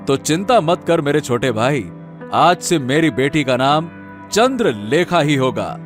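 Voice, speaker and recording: A man plays a sly elder brother in a Hindi cartoon moral tale. He sounds coaxing and falsely fond, in a slightly high, highly expressive voice over soft music. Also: unhurried. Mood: affectionate. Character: elder brother feigning affection, wheedling and sly.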